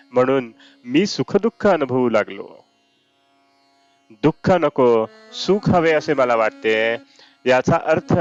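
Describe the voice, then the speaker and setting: A man reads a devotional discourse at a slow, deliberate pace in a mid-pitched voice. Indian classical music with sitar plays behind him, and the recording is slightly dull. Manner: measured, deliberate phrasing. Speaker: reader of spiritual discourses. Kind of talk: read-aloud devotional discourse. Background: Indian classical raga music with sitar.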